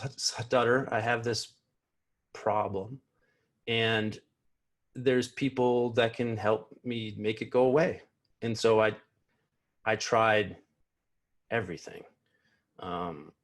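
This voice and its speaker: Slow, earnest man who stutters. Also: lowish voice. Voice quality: stutters, with repeated sounds and blocks. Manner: slow, earnest, reflective, often restarts his words. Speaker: keynote speaker. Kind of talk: personal talk at an online convention. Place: small home room, indoors. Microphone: earphone mic on a video call.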